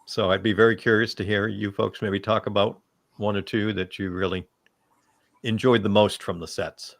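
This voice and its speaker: Low-voiced man hosting a panel. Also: fairly even intonation, normal speed, creaky. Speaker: panel host. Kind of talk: casual panel discussion.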